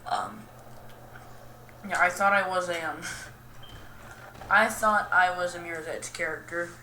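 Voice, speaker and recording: Girl gamer rambling casually, lowish voice, goofy and self-deprecating, noisy roomy recording. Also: slow pace, bright, forceful tone. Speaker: gaming video host. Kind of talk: casual, rambling gameplay commentary. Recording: roomy, distant mic with clear noise.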